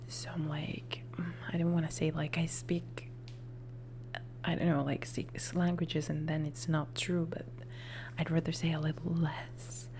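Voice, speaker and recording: A woman whose first language is Italian talks in a soft, close whisper on a live ASMR stream. Her voice is low and dark with a gravelly edge, and she rambles and corrects herself casually. A faint hiss sits behind her. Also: level tone, unhurried. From Italy.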